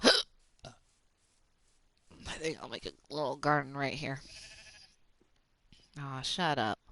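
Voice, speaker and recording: A streamer from Oklahoma with a Southern accent, rambling in fast, casual talk with plenty of fillers while playing a game. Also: medium pitch, fairly bright tone, dry recording. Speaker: gaming streamer.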